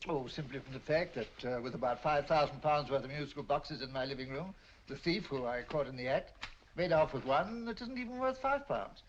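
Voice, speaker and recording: A man's voice: medium pitch, animated, fairly quick, a little hiss or hum, close to an everyday voice. An actor with a British accent playing an affable male collector, chuckling as he talks in 1940s film style. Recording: dull old film soundtrack.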